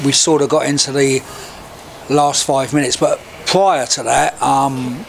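Chatty club TV interviewer outdoors. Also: male voice, medium pitch, steady tone, measured pace, vocal fry, a little hiss or hum. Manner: chatty, leading questions. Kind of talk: post-match interview. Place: outdoors by a football ground wall.

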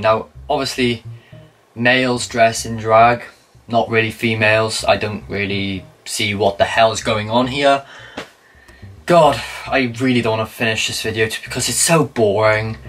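Sarcastic young man, husky voice. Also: mid-range voice, moderate pace, a bit bright. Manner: mocking, sarcastic. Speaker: commentary youtuber. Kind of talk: reaction commentary. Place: small room by a blue wall.

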